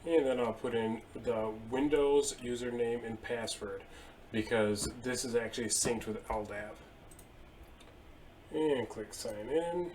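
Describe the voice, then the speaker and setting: A man in his thirties at his desk, casually explaining software settings step by step with a few fillers. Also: mid-range voice, a little hiss or hum. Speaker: IT tutorial presenter. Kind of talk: screen-share software walkthrough. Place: indoor room at a desk.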